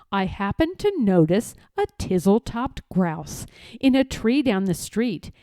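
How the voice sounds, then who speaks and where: A woman reading a children's story aloud in a theatrical, rhythmic way, as expressive storytelling. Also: mid-range voice, dry recording, studio quality. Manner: theatrical, rhythmic rhyming delivery. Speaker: storybook reader.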